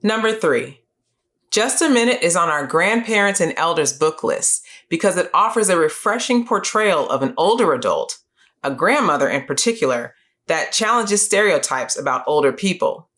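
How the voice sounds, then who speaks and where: A middle-aged woman presents scripted educational material as a series host. Her voice sits on the low side and moves with lively, varied intonation. She explains each point in a clear, measured manner. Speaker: host of a children's book review series.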